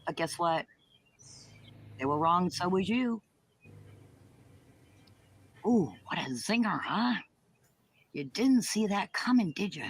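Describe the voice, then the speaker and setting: Slow-talking, taunting woman. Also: lowish voice, very animated, husky. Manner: taunting, foul-mouthed. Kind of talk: taunting monologue.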